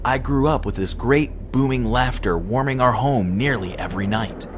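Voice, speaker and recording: A man reading a scripted horror story as an amateur narrator, in a measured, somber storytelling manner. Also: medium pitch. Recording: clearly noisy home recording.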